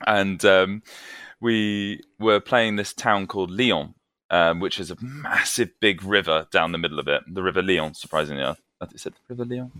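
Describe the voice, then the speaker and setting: A British man, a band vocalist guesting on a podcast, casually telling anecdotes and acting out the dialogue in an animated way. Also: deep voice, moderate pace, dry recording, clean studio sound. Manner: animated, acts out quoted dialogue.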